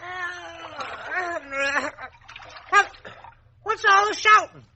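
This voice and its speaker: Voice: extremely high pitch, expressive, drawn-out words, gravelly, sharp tone, slight background noise, full character voice. A performer playing a bossy, hearty captain in a 1940s children's radio fantasy, folksy and barking orders. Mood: bewildered. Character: blustery, bossy toy-soldier captain.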